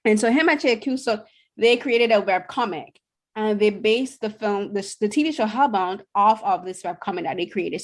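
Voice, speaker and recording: A young woman from Barbados co-hosting a podcast, chatting casually about shows she watched, with lots of fillers and restarts. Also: a little high. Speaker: podcast co-host.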